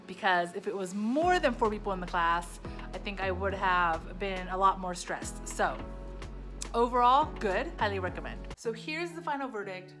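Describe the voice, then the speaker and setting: A woman's voice: mid-range voice, expressive, moderate pace, music in the background. A woman vlogger whose first language was Japanese, talking through her language-class experience in a candid, self-deprecating way, often repeating short phrases. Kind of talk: casual vlog reflection.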